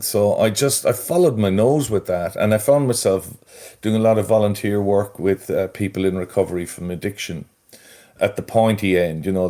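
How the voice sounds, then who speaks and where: A man's voice: on the low side, dark tone. A middle-aged Irish man talking as a podcast guest, thoughtful and a little rambling. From Ireland. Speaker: guest, mental health strategist. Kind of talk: conversational interview.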